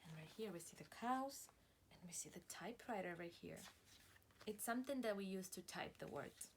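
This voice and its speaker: A woman teacher reading a picture book aloud to preschoolers, stopping to ask questions and encouraging the listeners in a deliberate, dramatic way. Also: mid-range voice, animated, slight background noise. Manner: slow, deliberate and dramatic, stretching words, pausing to ask questions; encouraging, praising and addressing listeners as friends. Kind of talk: interactive picture-book read-aloud for young children.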